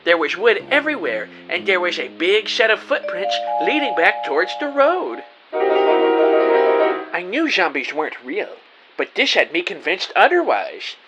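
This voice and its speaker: Male actor as earnest young detective narrating a mystery radio play, animated intonation, plain-spoken, music underneath. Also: sits high, measured pace, lo-fi sound, exaggerated voice. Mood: uneasy, amazed. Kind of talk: first-person scripted audio drama narration.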